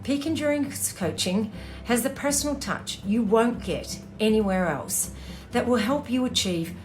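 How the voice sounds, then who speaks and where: A woman's voice: mid-range voice, a little mellow, rough recording. A woman who hosts a podcast and coaches runners, reading a scripted intro and ads in an earnest, friendly way. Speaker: podcast host and running coach.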